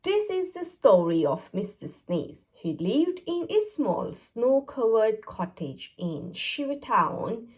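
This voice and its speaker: A woman reads a children's picture book aloud in a sing-song way, her pitch rising and falling widely. She speaks slowly, with a soft, breathy voice. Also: big pitch swings. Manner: sing-song, exaggerated storytelling. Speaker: storybook reader. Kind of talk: children's read-aloud narration.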